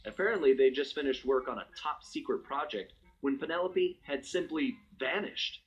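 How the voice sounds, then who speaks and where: A man's voice: medium pitch, normal speed, muffled recording, close to an everyday voice. Man voicing a suave, wry American master thief. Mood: concerned. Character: suave male master thief raccoon, wry, confident storyteller. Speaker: narrator. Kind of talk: scripted first-person cutscene narration. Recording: music under the voice.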